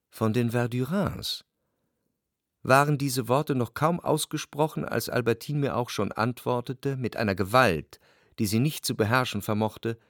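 An actor playing an introspective, jealous lover who narrates his memories in a measured, literary radio drama style. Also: mid-range voice, no echo, studio quality, natural voice. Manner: reflective, measured literary delivery in long sentences.

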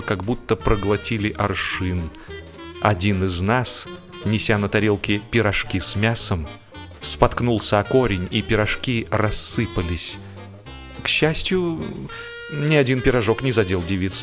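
Male audiobook narrator, mid-range voice, wry comic material, expressive and theatrical with playful pauses. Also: medium pitch. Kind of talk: dramatised humorous audiobook narration.

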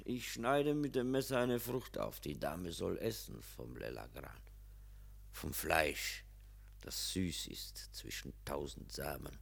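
An actor playing a slow, ominous, earthy gardener in a literary radio play, speaking gravelly and deliberately. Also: male voice, a bass voice, expressive, exaggerated voice. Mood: somber, cryptic.

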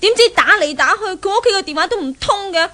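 A spoiled young daughter in a 1970s Cantonese radio drama. Her voice sits high, with a Hong Kong accent. She whines and pouts, impatient and lovesick. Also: expressive, fast talker, pushed and bright, exaggerated voice. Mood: sulky. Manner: whining, pouting, coquettish.

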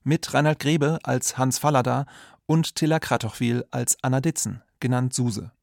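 A male announcer reads out the production credits, one name after another, in an even tone. His voice has a gravelly edge, and the recording is dry. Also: medium pitch, moderate pace, no room sound.

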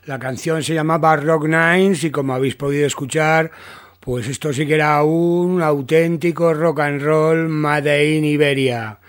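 A man's voice: on the high side, steady tone, a little slow. A man with a Spain accent presenting a music radio show in a semi-scripted way.

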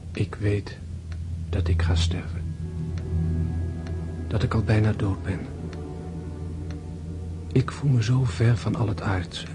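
An actor playing a dying wounded man in a radio drama, calm and detached, speaking in a hushed, halting, meditative way. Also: lowish voice, over music. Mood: serene, musing. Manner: slow, hushed, pensive, halting.

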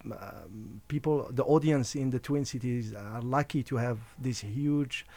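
A man from Algeria, raised speaking Arabic and French, chats as a radio guest in a halting way, full of fillers and restarts and quick laughs. His voice sits at an ordinary pitch. The studio sound is dry and close. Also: mellow, no room sound. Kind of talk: casual radio interview.

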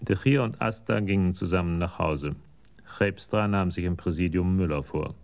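A man's voice: low voice, moderate pace, vocal fry, a little mellow, a little hiss or hum. A man narrating a crime drama from a script.

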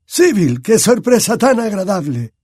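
A man's voice: high voice, sing-song, unhurried, a little mellow, slightly rough, no room sound, studio quality, pitched above the performer's own voice, full character voice. A man voicing a genial old headmaster with a cheerful, firm manner.

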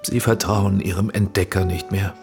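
Low-voiced, courtly, persuasive film director. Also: male voice, fairly even intonation, normal speed, over music. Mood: pleading, persuasive. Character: Austrian male film director, courtly, flattering, persuasive visionary. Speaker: actor in a radio drama. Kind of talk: radio drama acting.

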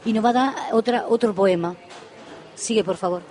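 A woman's voice: mid-range voice, normal speed, darkish tone. A woman hosting a live radio interview, effusive and affectionate, often repeating and restarting her phrases. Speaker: radio show host.

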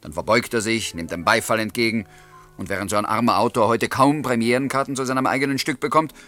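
A man's voice: mid-range voice, fast, bright, forceful tone, soft background music, close to an everyday voice. An actor playing a wry, courteous male writer who narrates and talks in a German radio play. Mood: mock indignant.

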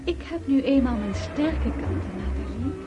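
A woman's voice: sits high, lively intonation, moderate pace, dull audio, music in the background. An actress playing a self-satisfied lady heard as a memory in a radio drama. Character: self-satisfied lady, remembered employer, speaking in recollection.

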